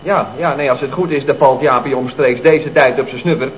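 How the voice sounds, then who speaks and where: An actor playing a cheeky, carefree young deputy in a radio comedy, talking in a breezy, slangy way. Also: male voice, mid-range voice, brisk, lightly acted. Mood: smug. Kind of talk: 1970s Dutch radio comedy acting. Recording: dull, noisy old radio recording.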